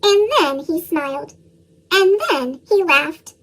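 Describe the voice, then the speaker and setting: A woman's voice: slow, fairly bright tone. A woman reading children's storybooks aloud expressively, her voice shifted up with a chipmunk effect. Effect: chipmunk-style pitched-up voice. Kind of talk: expressive read-aloud narration.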